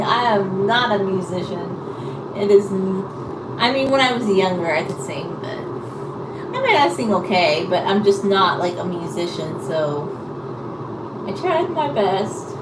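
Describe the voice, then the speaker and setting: Woman just rambling to her viewers, kinda hesitant n apologetic, echoey with clear noise behind her. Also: mid-range voice, lively intonation, unhurried. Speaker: live-streamer chatting with viewers. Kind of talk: casual rambling chat. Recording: echoey room, distant mic, loud background noise.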